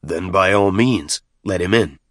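Gruff male alien war chief for an audiobook, performed by a male narrator: stern, commanding, barking orders, very dry close sound. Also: deep voice, unhurried, fairly bright tone, no echo.

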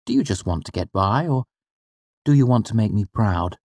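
Brief: a male narrator voicing a firm, coaxing mother, lifted higher, dry close sound. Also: big pitch swings, normal speed, a little mellow, breathy edge, no echo, exaggerated voice. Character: firm, wise mother, a traveling actress.

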